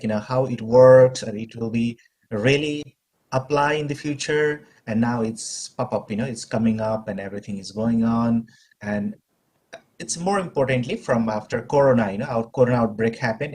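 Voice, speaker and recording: A man's voice: medium pitch, a little mellow, breathy edge. A man from Nepal, asking a rambling question in a webinar, full of fillers and "you know".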